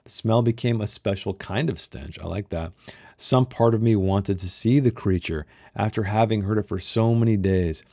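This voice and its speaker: Deep, mellow man reading and musing. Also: normal speed, vocal fry, no echo, studio quality. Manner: musing, breaks off with rhetorical questions. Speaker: host of a read-along book club. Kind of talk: reading a novel aloud with casual commentary.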